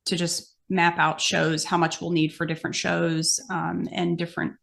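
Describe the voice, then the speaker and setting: An American woman with a lowish voice and plenty of vocal fry. She talks casually, as on a podcast, working through practical explanations aloud. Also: little pitch movement, moderate pace, a bit bright. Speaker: podcast guest host, amateur horse show exhibitor.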